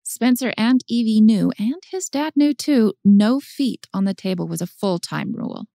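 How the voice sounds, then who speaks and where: A woman's voice: a little high, expressive, normal speed, gravelly, dark tone, dry recording, studio quality. A woman narrating a story for an audiobook in a scripted style.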